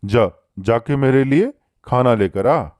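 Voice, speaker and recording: A callous cartoon pigeon father, played by a man, talks slowly in a mid-pitched voice. He is curt and dismissive, gruffly bossing others around. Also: animated, darkish tone, no room sound, clean studio sound. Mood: dismissive, bossy. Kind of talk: Hindi moral-story cartoon dub.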